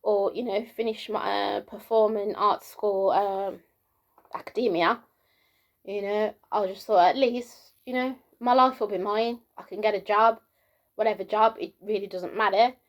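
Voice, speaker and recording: A woman from the UK with a soft, girlish voice, talking casually to camera in a rambling monologue full of fillers, her pitch climbing as she gets into the flow. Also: steady tone, a little slow, muffled recording. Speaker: vlogger.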